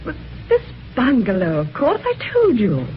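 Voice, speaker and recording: High-voiced genteel British wife. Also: female voice, huge pitch swings, moderate pace. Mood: keen. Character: brisk, determined middle-class English wife. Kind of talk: 1950s radio drama acting. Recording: dull, noisy old radio recording.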